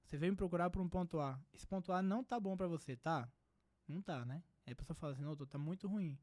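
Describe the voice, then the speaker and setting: Quick-talking earnest Brazilian psychiatrist. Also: male voice, on the high side, a little mellow, no room sound. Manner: earnest, reflective, many filler "né". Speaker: psychiatrist guest. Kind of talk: casual podcast conversation.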